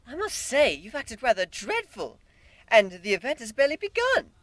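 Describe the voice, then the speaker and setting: Prim high-voiced lady pony done by a man. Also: wildly swooping pitch, moderate pace, a bit bright, dry recording, heavily acted. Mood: scolding. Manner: prim, formal, scolding. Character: prim, disapproving female fantasy pony.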